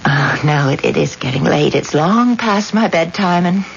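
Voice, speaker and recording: An actress playing a wealthy, devoted, forgiving wife in a radio drama, with earnest, halting, theatrical delivery. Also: female voice, lowish voice, lively intonation, creaky. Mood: tender. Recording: dull, hissy old radio recording.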